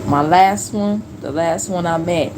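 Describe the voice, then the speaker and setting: Chatty woman in her late forties, low-pitched. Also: a little slow, noisy background. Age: 40s. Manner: relaxed storytelling with slang and laughs. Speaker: streamer. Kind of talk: casual live-stream chat.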